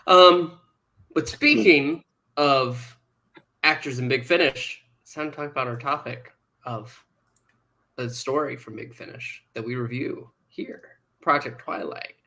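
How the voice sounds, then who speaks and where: A man with a medium-pitched voice co-hosts a podcast. He talks in casual banter with a joking, teasing manner. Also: measured pace, sharp tone, poor audio. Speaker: podcast co-host.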